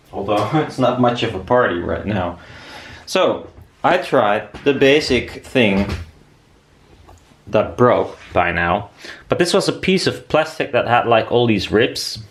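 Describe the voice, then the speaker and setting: Slow-talking man with a lowish voice. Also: slight background noise. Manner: rambling, thinking aloud. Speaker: DIY maker presenting a build video. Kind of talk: casual workshop demonstration.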